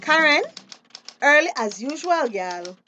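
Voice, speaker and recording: A woman's voice: sits high, big pitch swings, slow, pushed and bright, faint music behind, exaggerated voice. A woman acting an outspoken, street-smart fisheries officer in a radio drama, telling things expressively in a Belizean Creole accent. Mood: animated, confiding.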